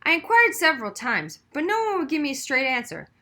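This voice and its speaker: A woman's voice: high-pitched, huge pitch swings, a little fast, bright, forceful tone, voice pushed up, exaggerated voice. A woman voicing a frazzled male school superintendent in a storybook read aloud. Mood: exasperated, uncertain.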